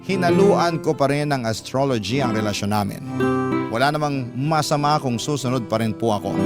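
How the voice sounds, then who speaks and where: A man with a Filipino accent narrating a scripted first-person story as a radio host, in classic radio style. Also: fairly high pitch. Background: plucked guitar music.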